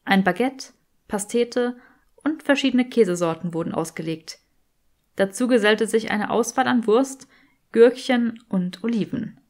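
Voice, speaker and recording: A woman's voice: on the high side, unhurried, a little mellow. A woman reading scripted fiction as an audiobook narrator.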